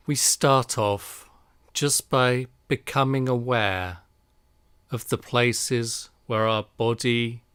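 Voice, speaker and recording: Slow, smooth-voiced man guiding meditation. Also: mid-range voice, no echo. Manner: calm, soothing, unhurried with long pauses. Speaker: meditation guide. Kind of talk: guided relaxation meditation.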